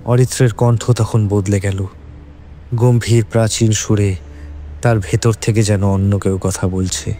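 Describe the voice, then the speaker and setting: Low, dark-toned male horror narrator. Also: flat delivery, unhurried, a little hiss or hum. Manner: dramatic, suspenseful. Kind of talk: scripted horror story narration.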